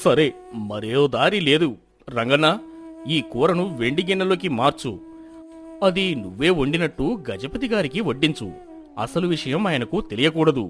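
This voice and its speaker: An actor playing a proud, commanding village landlord in a moral story cartoon, with a pompous, imperious manner. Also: male voice, a little high, expressive, moderate pace, soft background music, close to an everyday voice. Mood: stern. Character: proud, commanding village landlord anxious about his honour.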